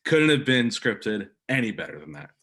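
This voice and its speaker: A man's voice: fairly low pitch, big pitch swings, normal speed, fairly bright tone, slightly rough. A young man co-hosting a casual sports podcast, upbeat and eager when telling a story. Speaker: podcast co-host.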